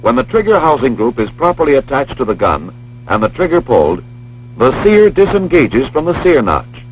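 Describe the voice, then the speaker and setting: An American man with a mid-pitched voice delivers formal, precise instructional narration. His intonation moves widely while he explains each step in a measured way. The sound is dull and lo-fi, like an old film track, with a light hum underneath. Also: wildly swooping pitch.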